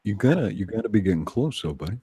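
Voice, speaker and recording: A man's voice: lowish voice, very animated, fast, dark tone, breathy edge. A man co-hosting a casual livestream, teasing and joking dryly as he chats. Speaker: livestream co-host. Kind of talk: casual bantering chat.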